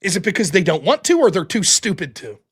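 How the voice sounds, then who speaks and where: A man hosting a livestream, reading out viewer donations and reacting, with a high-pitched voice and heavy breathing, profane and prone to yelling. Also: fast talker, slightly rough. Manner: animated, profane, yells about politics. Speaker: livestream host reading super chats. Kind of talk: casual livestream chat.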